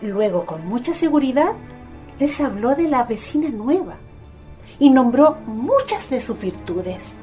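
Mother, high-pitched, kindly, expressive, radio drama, music behind. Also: a little slow. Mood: intrigued, confiding. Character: kindly, gently intrigued mother of the family.

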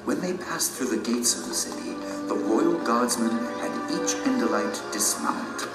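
A man's voice: mid-range voice, expressive, normal speed, natural voice. An actor as a dramatic fantasy storyteller man, roomy. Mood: dramatic, wondrous. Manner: suspenseful, theatrical storytelling. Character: storyteller man recounting an epic fantasy journey. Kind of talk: dramatic audio drama narration. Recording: roomy sound under loud music. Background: dramatic music.

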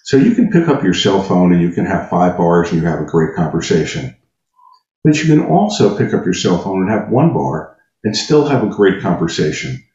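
A man's voice: sits low, mellow. A man being interviewed as a technical expert, explaining things conversationally and methodically with everyday analogies. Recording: dull, roomy sound.

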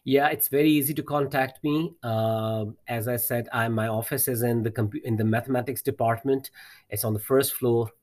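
A man's voice: medium pitch, fairly even intonation, moderate pace, a little mellow. Earnest man, native Urdu speaker. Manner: earnest, encouraging, repeats words while searching. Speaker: math teacher, guest. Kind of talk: interview answers, telling a personal story.

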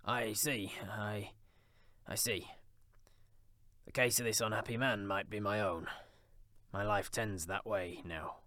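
A man voicing an elderly miser who speaks in a halting, fearful way. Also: lowish voice, fairly even intonation, bright, forceful tone, no room sound, clean studio sound. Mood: horrified. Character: elderly miser, terrified and shaken.

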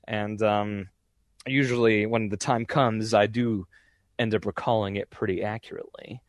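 A man's voice: fairly low pitch, steady tone, normal speed, dry recording. A young man in casual interview chat about voice acting, rambling with many fillers and restarts. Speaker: voice actor guest.

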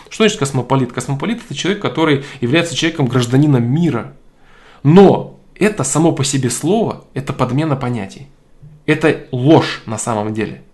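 A man giving an opinionated political monologue, stressing points by repeating them and pausing often on hesitation sounds. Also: medium pitch, a little mellow. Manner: emphatic, repeats key phrases, frequent hesitation fillers.

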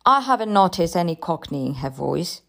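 A sceptical husband read by a woman narrator in a storybook. He is breezy, rational and reassuring, with an offhand manner and lively intonation. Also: lowish voice, brisk, gravelly, exaggerated voice. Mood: dismissive.

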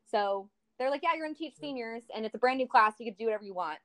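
A woman in her twenties, a high school English teacher, chatting casually and acting out quotes as she tells stories. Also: quick pace, slight background noise, rough recording. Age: 20s. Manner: chatty, animated storytelling with acted-out quotes. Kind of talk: casual conversation.

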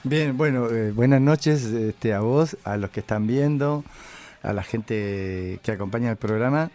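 An older man from Chile, a musician answering interview questions casually, halting and reflective as he searches for words. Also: medium pitch, a little mellow.

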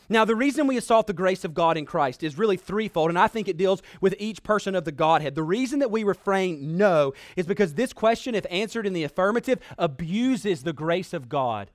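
A man delivers a sermon in a fairly high-pitched voice, recorded dry with little room sound. He speaks as an earnest preacher urging his listeners on. His phrasing is emphatic, with dramatic pauses and deliberate repetition. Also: no echo. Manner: earnest, exhortatory.

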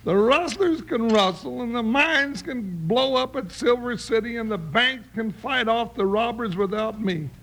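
An American actor playing a whiny, put-upon cowboy deputy sidekick in an old Western radio show, with a gravelly, folksy, complaining delivery. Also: male voice, a little slow, few pauses, a bit bright, exaggerated voice. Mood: grumbling. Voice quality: gravelly, creaky high voice. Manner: complaining, folksy drawling grumble. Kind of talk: 1950s Western radio acting. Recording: noisy old radio recording.

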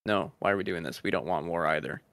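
A man's voice: sits low, quick pace, vocal fry, fairly bright tone, no room sound. A man co-hosting a podcast, joking and teasing his friends in casual chat. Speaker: podcast co-host.

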